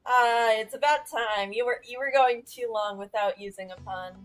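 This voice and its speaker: A woman's voice: a little high, normal speed. A woman game streamer giving casual, reactive let's-play commentary with a giggly manner. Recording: game music behind the voice.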